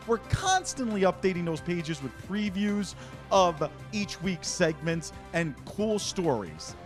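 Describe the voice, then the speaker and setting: A man hosting a local talk show, chatting playfully and jokily with a guest outdoors on a summer day. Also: high voice. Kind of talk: lively casual chat on a food segment.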